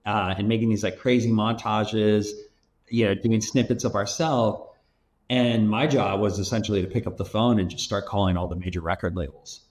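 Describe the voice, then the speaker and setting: A man's voice: on the low side, darkish tone. A man in his thirties from Los Angeles, a guest on a podcast, talking casually with plenty of fillers and "like.". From Los Angeles, USA. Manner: rambling and loose, heavy use of "like" and "um". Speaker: venture capital investor, guest. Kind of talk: casual interview talk.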